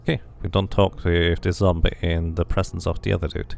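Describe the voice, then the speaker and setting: A man's voice: deep voice, level tone, fairly quick, creaky, darkish tone, slight background noise. A man streaming a video game, reading its text aloud like a narrator and muttering casual asides to himself.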